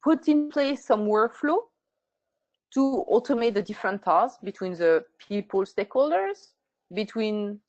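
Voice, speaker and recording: Measured woman presenting a webinar. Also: mid-range voice, animated, a little mellow. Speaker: webinar host. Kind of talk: scripted presentation. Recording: muffled lo-fi webinar audio.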